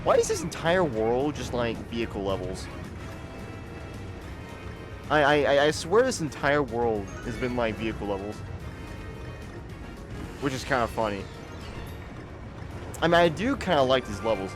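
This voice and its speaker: A man's voice: mid-range voice, expressive, a little slow, long pauses, music in the background. Rambling young man streaming a game. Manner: rambling, repeats words when starting sentences. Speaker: streamer playing a video game. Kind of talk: casual live-stream chat.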